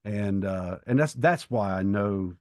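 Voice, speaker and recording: A man's voice: lowish voice, expressive, measured pace, dry recording, studio quality. A middle-aged man co-hosting a podcast, chatting casually in a bookish, opinionated way and explaining things at length. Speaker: podcast co-host and writer. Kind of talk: casual conversational discussion.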